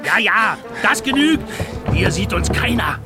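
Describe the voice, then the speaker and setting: Casting: callous, bossy soldier villain for a children's radio play, high animated delivery, brusque, loud music behind. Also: male voice, a little slow, exaggerated voice. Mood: callous, impatient. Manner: brusque, commanding. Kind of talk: children's audio drama acting. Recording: loud music, distant from mic.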